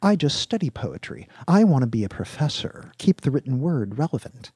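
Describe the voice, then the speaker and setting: A man's voice: medium pitch, sing-song, moderate pace, a little mellow, slightly rough, no room sound, voice pushed up. A man voicing an eager, naive young male college student in an audiobook, chatting in casual slang. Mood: eager, chatty. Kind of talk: audiobook character dialogue.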